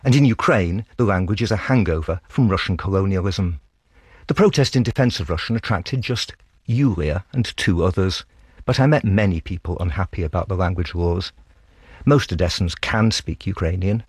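Deep husky guy reading a foreign correspondent piece for radio, measured storytelling, dry studio sound. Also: no echo. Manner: measured, literary storytelling delivery. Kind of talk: scripted radio dispatch, first-person narration.

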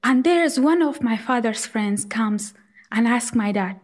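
A young woman from Afghanistan telling her own life story in an earnest, halting way, with frequent fillers. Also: a little high, lively intonation. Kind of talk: personal storytelling.

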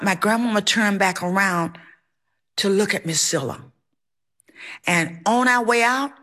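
A woman's voice: a little high, pushed and bright. A woman telling a personal story in a theatrical, emphatic, folksy way, acting out what people said. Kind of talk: live personal storytelling on stage.

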